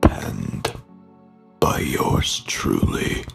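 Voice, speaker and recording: A man voicing a ghoulish undead horror host in a breathy, rasping half-whisper, slow and theatrical, relishing the macabre. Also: a bit bright, faint music behind. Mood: sinister relish. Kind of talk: horror anthology host sign-off.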